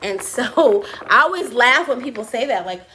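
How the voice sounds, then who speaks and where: A small business owner answering viewer questions on a live stream, loud and animated, laughing often, with a high voice she compares to a cartoon mouse. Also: normal speed, a little hiss or hum, low-quality recording. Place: her print shop office.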